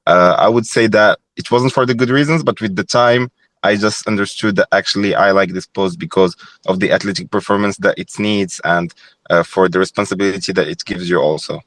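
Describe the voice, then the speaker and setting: A man's voice: low-pitched, fairly quick, a bit bright. A young man from Morocco, a former goalkeeper, talking thoughtfully as a podcast guest, with frequent fillers and restarts. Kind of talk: reflective podcast interview.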